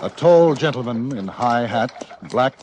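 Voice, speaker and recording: An actor playing a measured, literate frontier newspaper correspondent with an English accent, narrating dramatically from a script. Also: male voice, medium pitch, lively intonation, a little mellow, slight background noise, close to an everyday voice. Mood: reflective.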